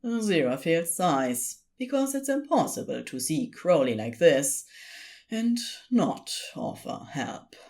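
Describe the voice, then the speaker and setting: A woman reading scripted story narration in an expressive storytelling style. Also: on the low side, unhurried.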